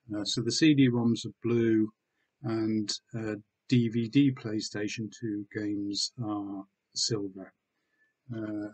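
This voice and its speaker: A man in his sixties from Wales, talking casually and hesitantly in a low-key, understated way as he presents a home vlog. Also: a little mellow, low-quality recording. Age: 60s. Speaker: online seller and video host. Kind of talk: casual vlog chat, showing items. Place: small room at home with bookshelves.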